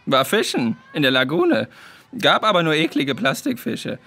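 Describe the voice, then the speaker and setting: Bright, high-pitched chatty puffin. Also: male voice, wildly swooping pitch, normal speed, creaky, faint music behind, full character voice. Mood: blunt, matter-of-fact. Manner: clipped sentences without subjects, blunt. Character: chatty, easygoing male puffin bird named Björn.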